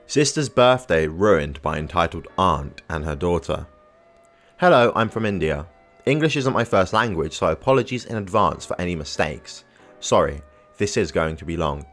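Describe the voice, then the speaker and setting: A man narrating online posts as scripted storytelling, with an even, matter-of-fact reading. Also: deep voice.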